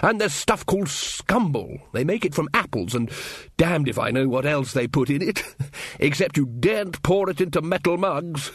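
A man voicing a blustering, nostalgic old male wizard in a storybook, with a theatrical, drawn-out delivery. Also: a little high, expressive, breathy edge, dry recording, pitched above the performer's own voice. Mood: enthusiastic. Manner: theatrical, drawn-out, wistful reminiscing.

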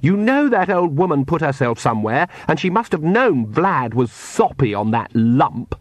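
A high, petulant young vampire girl acted out by a male reader for a fantasy audiobook. She whines and sneers with demanding contempt, her pitch sliding about dramatically. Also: very animated, slow, darkish tone, no echo, exaggerated voice. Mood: gasping, accusing.

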